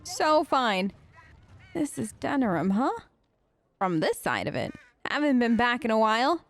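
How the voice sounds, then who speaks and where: A woman's voice: a little high, big pitch swings, a little slow. A woman hosting a role-play let's play, chatting casually and a little hesitantly to game companions in character.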